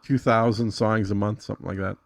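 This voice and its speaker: Easygoing low-pitched man thinking aloud. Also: little pitch movement, fairly quick, gravelly, no echo, studio quality. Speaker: guest demonstrating an AI music tool. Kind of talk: casual tutorial chat.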